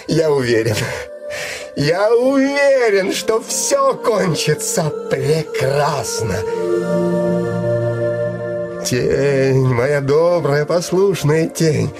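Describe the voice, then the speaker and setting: A young idealistic scholar in a radio play, his voice swooping widely. He speaks slowly and earnestly, halting as a dreamer in love, with music behind him. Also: a little high, big pitch swings, heavily acted. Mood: elated, dreamy. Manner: halting, earnest, theatrical delivery with swooping emphasis. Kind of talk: stage-like radio drama acting.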